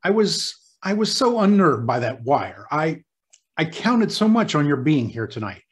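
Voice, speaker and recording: Guy playing a rattled hospital boss in an old radio play, halting, earnest, voice goes up and down a lot. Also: fairly high pitch, sing-song. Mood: troubled, earnest. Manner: halting, repeats himself when rattled. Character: flustered, earnest male hospital superintendent, a doctor. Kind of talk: old-time radio drama acting.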